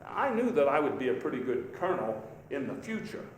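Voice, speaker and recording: Male actor as vigorous patriotic old statesman at the podium, live reenactment; high, animated oratory, folksy pauses, echoey room. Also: close to an everyday voice. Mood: proud, emphatic. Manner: emphatic, folksy, halting with rhetorical pauses. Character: Theodore Roosevelt, vigorous patriotic elder statesman giving a speech. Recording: echoey live hall, faint background noise.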